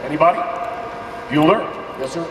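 Upbeat emcee booming through a vast hangar. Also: male voice, normal speed. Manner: upbeat, rallying announcer delivery. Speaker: event emcee and broadcaster. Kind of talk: introducing a panel to fans. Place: large aircraft museum hangar, indoors. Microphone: distant camera in the audience. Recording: roomy hangar echo, far from mic, crowd noise.

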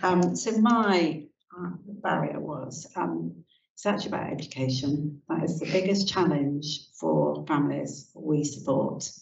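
A woman's voice: low-pitched, sing-song, a little slow, vocal fry, a little mellow. A woman who founded a charity, speaking earnestly on a panel from her notes. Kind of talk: panel discussion. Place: university seminar room. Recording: echoey room from a distance, dull.

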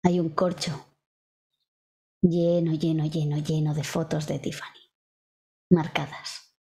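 A woman's voice: low-pitched, little pitch movement, slow, mellow. A woman with an accent from Spain, improvising descriptions as a player in a tabletop game, hesitant and searching for words. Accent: Spanish (Spain). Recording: faint music behind the voice.

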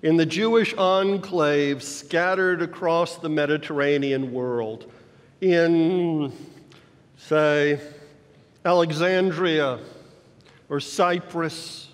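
An older man in his sixties preaching a sermon from a lectern in a church sanctuary, teaching slowly and checking in with his listeners. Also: fairly high pitch. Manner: slow teaching delivery, checks in with the congregation. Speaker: pastor. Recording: long hall reverb in a church.

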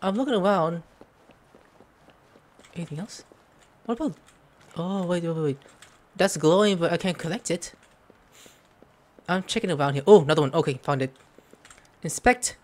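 A man's voice: on the high side, normal speed, long pauses, a little mellow. A young man giving casual, jokey, rambling commentary as he plays a video game. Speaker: gaming streamer. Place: indoor room at home.

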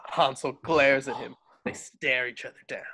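A man's voice: medium pitch, fairly even intonation, moderate pace, bright, forceful tone, a little hiss or hum. A man narrating stage directions from a script in an amateur table read over a video call.

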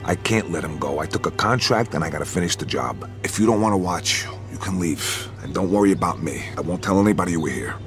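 A man's voice: low-pitched, lively intonation, quick pace, hardly any pauses, faint music behind. An actor playing a hard-nosed American mob hitman in a video game, blunt, firm and businesslike. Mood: firm, cold. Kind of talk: video game cutscene acting.

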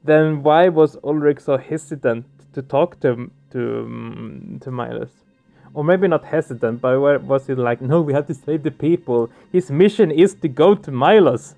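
Guy streaming a game, soft mellow higher voice, thinking out loud, music underneath. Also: moderate pace, creaky. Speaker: let's play streamer. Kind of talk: casual game commentary and reading aloud.

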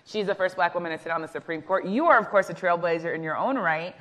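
A woman in her thirties whose first language was Haitian Creole, interviewing on an indoor festival stage with a lapel mic, lively and laughing easily. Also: fairly low pitch, quick pace. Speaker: interviewer, journalist. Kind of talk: onstage festival conversation.

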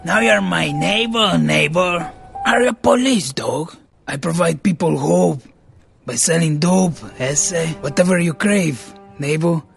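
Over-friendly game lizard, slow drawl, heavy vocal fry, music behind. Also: male voice, on the high side, lively intonation, exaggerated voice. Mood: sly, friendly. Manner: slangy, drawn-out, ingratiating. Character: cheery drug-dealing lizard, slangy and over-friendly. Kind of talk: video game character dialogue.

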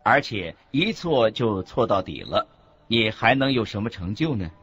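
A man's voice: fairly low pitch, big pitch swings, moderate pace, fairly bright tone, husky. A man reading a scripted religious teaching as the narrator of a radio lecture. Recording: dull lo-fi audio with background music.

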